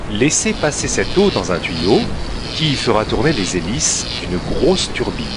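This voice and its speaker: A man narrating a scripted educational cartoon for children in a deliberate storytelling way, with dramatic pauses. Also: mid-range voice, noisy background.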